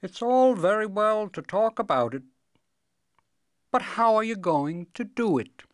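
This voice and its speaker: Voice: medium pitch, animated, unhurried, no echo, studio quality, pitched above the performer's own voice, lightly acted. A stubborn storybook cobbler, voiced by a narrator, raised well above plain speech. He is gloomy and skeptical, with a blunt, grumbling, haggling way of talking. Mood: gloomy, challenging.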